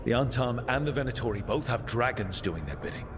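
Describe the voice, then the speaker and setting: An actor playing a wry, determined hero mage in a video game, plain-spoken and dryly sardonic. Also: male voice, mid-range voice, animated, brisk, natural voice. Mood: serious. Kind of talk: dramatic video game dialogue. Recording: long hall reverb, clear background noise.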